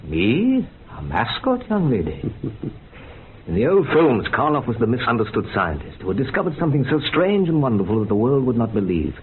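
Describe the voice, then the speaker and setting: A thin, lightly noisy radio recording carries a male scientist. He is wry and fervent, speaking in measured, theatrical phrases with very lively pitch movement. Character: earnest, wry scientist devoted to old horror films. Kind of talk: radio play acting. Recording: muffled, band-limited, faintly noisy radio recording.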